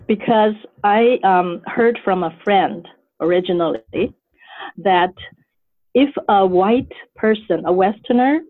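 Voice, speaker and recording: A woman in her seventies from Taiwan, an author answering interview questions thoughtfully, with frequent pauses and fillers. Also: mid-range voice. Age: 70s. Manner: thoughtful, hesitant, many fillers. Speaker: author guest. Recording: phone-like call line.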